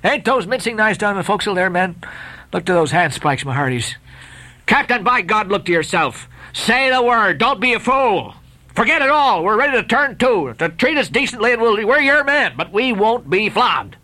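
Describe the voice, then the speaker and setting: A man's voice: high voice, sing-song, moderate pace, voice pushed up, heavily acted. A man doing a fierce defiant sailor. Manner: forceful, raised defiant speech.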